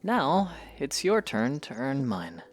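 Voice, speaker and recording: A man playing a calm, teasing young knight in an audio drama, with terse, easygoing delivery. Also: medium pitch, slow, slight background noise. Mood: teasing, matter-of-fact.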